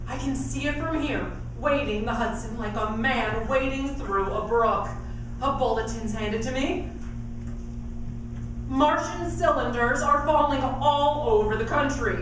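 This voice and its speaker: High-voiced actress as grave radio news reporter. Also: female voice, a little slow, background noise. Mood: alarmed, doomed. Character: female radio reporter broadcasting a doomed city's invasion. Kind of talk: live radio play acting in old-time news bulletin manner. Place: live on stage in a performing arts hall. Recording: echoey hall, distant pickup, music behind.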